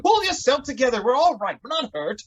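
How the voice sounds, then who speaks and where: A man's voice: very high-pitched, big pitch swings, brisk, a bit bright, heavily acted. A man acting an impatient, hot-headed young sweetheart in a theatrical, melodramatic radio drama style. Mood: indignant. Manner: melodramatic, indignant.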